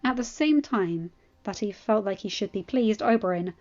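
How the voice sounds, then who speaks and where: A woman's voice: medium pitch, animated, moderate pace, darkish tone, lo-fi sound. A woman reading scripted story narration as an audiobook reader. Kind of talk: scripted fan fiction narration.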